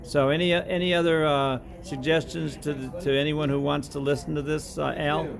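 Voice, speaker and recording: A man interviewing a customer for a sauna company in a casual, friendly way, laughing often and prompting quickly. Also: a little high, level tone, vocal fry, a crowd in the background.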